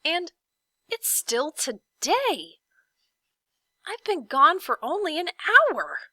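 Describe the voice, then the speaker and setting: A woman voicing an excitable young boy in a storybook, eager and exclamatory. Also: high voice, slow, no echo, voice pushed up, full character voice. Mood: amazed.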